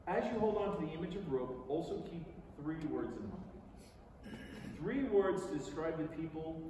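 A middle-aged American man giving a theatrical, conversational staged reading to an audience, deliberate and emphatic, with pauses. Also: mid-range voice. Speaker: stage reader performing a book. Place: large theatre stage, indoors. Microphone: far audience camera. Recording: distant, echoey theatre recording with noise.

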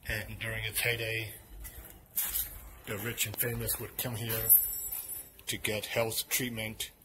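Urban explorer, man, low monotone, rambling walk-and-talk, noisy outdoor camera audio. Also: slow pace. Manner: loose, rambling, self-correcting. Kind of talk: casual walking narration. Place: outdoor ruins of a large old hotel.

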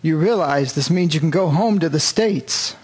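A man plays a wartime army doctor in a radio drama. His voice is rather high for a man. He speaks in a calm, measured and kindly way, as an officer in command. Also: breathy edge. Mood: earnest. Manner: measured, reassuring.

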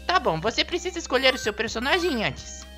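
A woman's voice: high voice, very animated, fairly quick, over music. A woman with a Brazilian accent voicing a naive, eager, put-upon female rabbit-like creature in a cartoon fandub, earnest and whiny.

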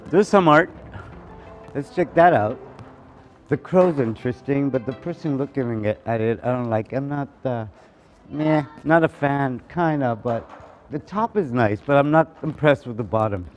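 Playful man on a street. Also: medium pitch. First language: Spanish. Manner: playful, chatty, laughs often. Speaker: interviewee. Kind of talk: casual walking street interview. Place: narrow city street outdoors.

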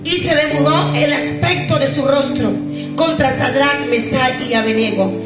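High-pitched woman preaching fervently. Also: a little slow. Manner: shouting, sing-song preaching with bursts of tongues. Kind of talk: fiery Pentecostal sermon. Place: large bare concrete courtyard church, outdoors at night. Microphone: handheld microphone. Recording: echoey open space with loud music behind.

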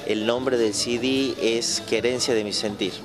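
A man's voice: mid-range voice, steady tone, unhurried. A young Argentine man from Tucumán, interviewed as a folk singer into a handheld mic in a busy bar, talking modestly with some hesitation. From Tucumán province, Argentina. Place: busy bar with live music. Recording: loud live music behind.

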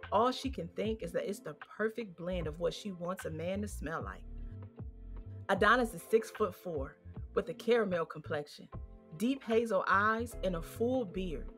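A woman with an American accent narrating a scripted story as its storyteller. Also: mid-range voice. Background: music.

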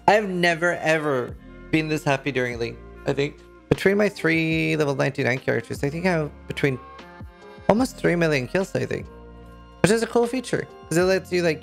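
A man in his thirties speaks English with a Norwegian accent, his voice carrying a gravelly, creaky texture. He rambles eagerly about his favourite game update. He is close to a desk mic, with faint guitar music behind. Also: fairly high pitch. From Norway. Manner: enthusiastic, rambling, lots of fillers. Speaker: streamer. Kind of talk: casual game commentary to camera. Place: indoor streaming room.

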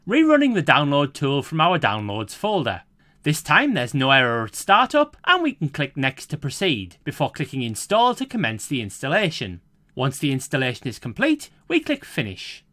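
A man reading a scripted, step-by-step software tutorial in a measured, formal way. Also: mid-range voice, dry recording, clean studio sound. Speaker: tutorial narrator. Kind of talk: scripted screen-recording voice-over.

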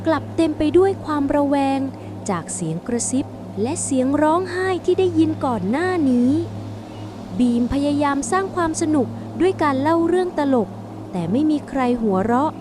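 A woman's voice: sits high, expressive, normal speed, background noise. A woman reading a scripted suspense bedtime story as a storyteller.

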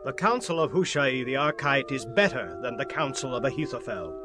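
An actor playing a commanding young rebel prince of ancient Israel, declaiming in a dramatized scripture reading. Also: male voice, medium pitch, animated, a little fast, close to an everyday voice. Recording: faint music behind the voice.

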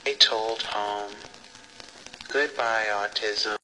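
A man puts on an odd, deadpan puppet. He speaks in very slow, stilted fragments at a medium pitch, amid loud noise, clicks and knocks. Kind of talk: fan impression in short comic skit.

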